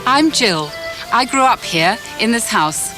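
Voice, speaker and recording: Slow-speaking mother of a family. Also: on the high side, expressive, over music. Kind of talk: casual talk about herself.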